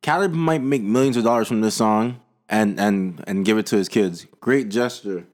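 A man's voice: fairly low pitch, moderate pace. A man in casual podcast chat, slangy and ready to argue his point.

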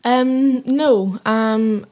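A woman's voice: on the high side, very slow, a little mellow. A teenage girl answering interview questions shyly, with many hesitations. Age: teens. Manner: shy, hesitant, many ums. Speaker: guest, young footballer. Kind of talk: casual interview.

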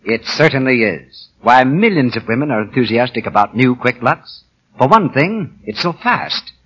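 Casting: vintage 1940s radio pitchman, male, husky and lively, scripted delivery. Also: normal speed, dull audio. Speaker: radio announcer.

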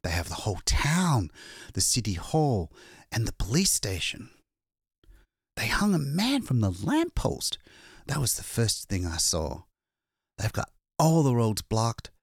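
A man's voice: mid-range voice, huge pitch swings, measured pace, husky, no room sound, clean studio sound, voice pushed up, full character voice. A man voicing a desperate, exhausted man who escaped a captured town, speaking breathless and urgent. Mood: frantic.

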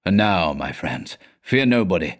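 Devoted elderly music master male reader acting, very deep, grave, hushed, gothic storybook. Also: sing-song, measured pace. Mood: solemn, urging. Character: solemn, devoted old music teacher's farewell letter.

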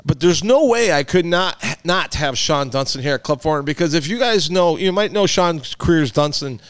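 A man's voice: fairly high pitch, expressive, normal speed, fairly bright tone, no echo. A man in his forties hosting a podcast, rambling in casual chat with fillers and restarts. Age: 40s. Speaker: podcast host.

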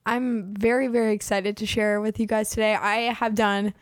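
A woman's voice: medium pitch, little pitch movement, moderate pace, no room sound. A woman in her twenties hosting a podcast in casual chat, chatty and full of "like", her voice a bit worn as she is losing it. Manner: chatty, peppers speech with "like". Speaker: podcast host.

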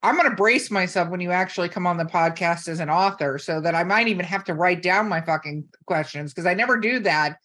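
A woman's voice: on the low side, a little fast, hardly any pauses, fairly bright tone. A woman hosting a podcast in casual chat, teasing her guests bossily and swearing freely. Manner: bossy teasing, salty swearing. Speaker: podcast host. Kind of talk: casual chat, rapid-fire questions to guests.

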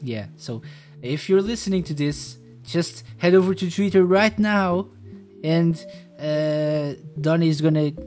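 A man's voice: a little high, a little slow, a little mellow, soft background music. A man hosting a podcast in casual joking chat, teasing his co-host and often stumbling over repeated words.

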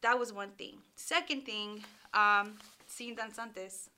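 Bright-voiced woman. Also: medium pitch, fairly even intonation, takes it slowly. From Los Angeles, California, USA. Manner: candid, with fillers and restarts. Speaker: guest, PhD candidate. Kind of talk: casual interview storytelling.

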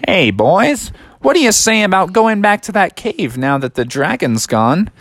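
Man playing a smug, greedy radio pitchman. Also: very animated, fairly bright tone, dry recording, full character voice. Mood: scheming. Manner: salesman's patter, drawn-out announcing.

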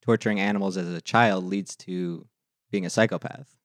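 A man's voice: low voice, steady tone, normal speed, dry recording, clean studio sound. A man co-hosting an improv comedy podcast, playful and chiming in.

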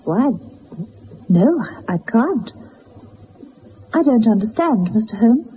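High-voiced, earnest governess. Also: female voice, big pitch swings, slow pace, muffled recording, a little hiss or hum. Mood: anxious. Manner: earnest, hesitant, formal, emotional. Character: earnest, distressed young governess accused of murder. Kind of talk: dramatised detective radio play.

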